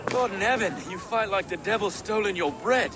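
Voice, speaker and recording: A man's voice: high-pitched, a little fast, very noisy, lightly acted. A man playing an affable, dutiful medieval London reeve in a video game. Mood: firm, exasperated. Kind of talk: video game cutscene acting.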